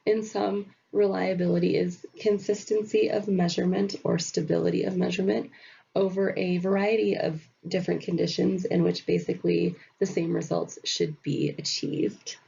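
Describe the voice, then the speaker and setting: A woman talks through her slides in a nasal voice, her throat still rough from a recent illness. Her tone is low and mellow, with a husky, gravelly edge. The recording sounds dull and muffled. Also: moderate pace. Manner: many fillers, explanatory. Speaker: student presenter.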